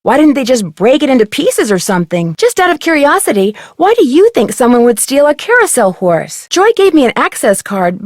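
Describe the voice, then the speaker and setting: An actress playing a polite, curious young detective in an adventure game, with courteous, clearly enunciated questions. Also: female voice, a little high, dry recording, studio quality. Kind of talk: scripted adventure game dialogue.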